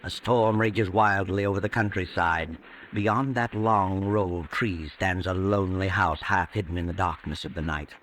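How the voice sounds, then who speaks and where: Deep, sinister old-radio narrator. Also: male voice, fairly bright tone. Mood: brooding, suspenseful. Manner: ominous, theatrical, deliberate. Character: The Whistler, sinister omniscient narrator of night tales. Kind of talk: 1940s radio drama narration. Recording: noisy old radio recording.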